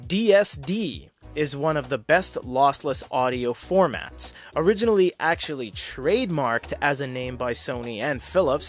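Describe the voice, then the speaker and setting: A man narrating a scripted product review as a tech reviewer, explaining technical terms plainly and dropping wry, casual asides. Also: fairly high pitch.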